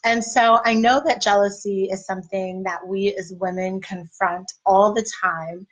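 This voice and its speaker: Slightly raspy woman giving encouraging talk. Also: medium pitch, rough recording. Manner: conversational, encouraging, faith-based. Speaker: life and marriage coach. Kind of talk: casual motivational talk.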